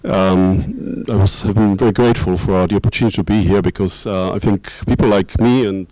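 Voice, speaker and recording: A young man from Czechoslovakia, a lecturer in international security, giving a conference talk with many pauses and restarts. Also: sits low, steady tone, a little mellow, no echo, rough recording. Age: young adult. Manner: hesitant, many ums and restarts.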